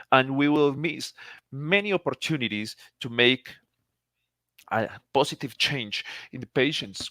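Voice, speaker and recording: A man's voice: mid-range voice, fairly bright tone, no room sound, clean studio sound. A man from Colombia, a native Spanish speaker with a Colombian Spanish accent, gives an earnest, formal conference lecture as a psychiatrist, often restarting his phrases.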